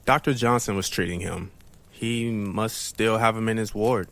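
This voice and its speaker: A man's voice: on the low side, moderate pace, fairly bright tone. An actor playing a man in a tense audio drama, delivering scripted dialogue. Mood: clinical, reporting.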